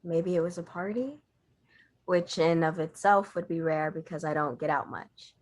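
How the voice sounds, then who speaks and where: A woman acts out a female reporter with a slightly low voice. She is guarded and businesslike with a bit of spice, speaking in a reserved, matter-of-fact way for an audio drama. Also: moderate pace, darkish tone, natural voice. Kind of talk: audio drama table read.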